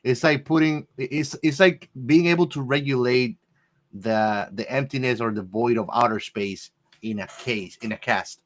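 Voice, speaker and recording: A man's voice: medium pitch, normal speed. A man speculating in a casual panel discussion, often searching for the right words. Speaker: podcast panelist.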